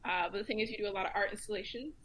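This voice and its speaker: A woman's voice: medium pitch, rapid-fire, a bit bright. A woman speaking as a guest commentator in a casual discussion. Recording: faint background noise and ambience.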